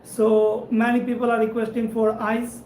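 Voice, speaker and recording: A man with an Indian English accent chats on a live stream in a steady, flat tone, warmly thanking viewers one by one. His voice carries a roomy echo, and faint background noise sits under it. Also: very high-pitched, normal speed. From India (Madhubani, Bihar). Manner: friendly, repetitive thanks, frequent "right?" tag. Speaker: networking instructor and channel host. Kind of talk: live-stream chat reading viewer comments.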